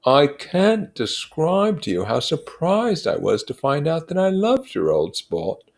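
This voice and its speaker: An earnest young man in love , played by a male audiobook reader, confiding wistfully and eagerly. The voice is lifted well up in pitch, with an effusive, storybook manner. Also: huge pitch swings, unhurried, creaky, heavily acted. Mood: earnest, lovestruck.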